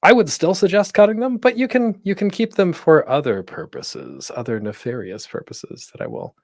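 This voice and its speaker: A man's voice: on the high side, sing-song, normal speed, vocal fry, no room sound, studio quality. A man presenting an informal online panel as a podcast host, speaking in a conversational, encouraging way with self-deprecating humor.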